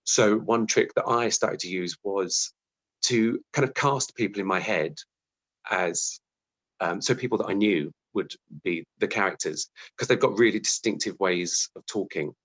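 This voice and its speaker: A man's voice: lowish voice, measured pace, fairly bright tone, rough recording. A man giving a casual interview as a guest, thoughtful and hesitant, often restarting his sentences. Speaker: interview guest, television scriptwriter.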